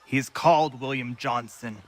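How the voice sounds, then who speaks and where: An actor playing a troubled, earnest villager in a game cutscene, speaking formally. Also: male voice, mid-range voice, fairly even intonation, moderate pace, natural voice. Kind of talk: dramatic game cutscene acting.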